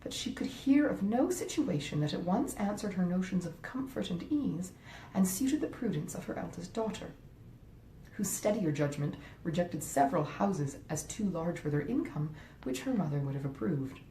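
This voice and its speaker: A woman narrating a classic novel aloud with expressive, carefully phrased literary delivery. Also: normal speed. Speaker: audiobook narrator, author. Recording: roomy echo, faint rumbling noise.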